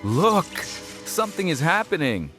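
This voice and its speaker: A man voicing a bubbly, energetic young female scrap scavenger in a comic book story. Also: fairly high pitch, a little slow, music in the background, voice pushed up. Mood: excited. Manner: bursting with energy, exclaiming.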